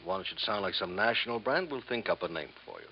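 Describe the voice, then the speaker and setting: Low-voiced chummy, crooked old-radio company boss. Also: male voice, lively intonation, fast talker. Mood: sly, selling. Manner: folksy salesman patter, tag questions, inverted phrasing. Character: chummy, wheedling middle-aged crooked mattress company boss. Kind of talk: 1950s radio drama acting. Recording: muffled, hissy 1950s radio recording.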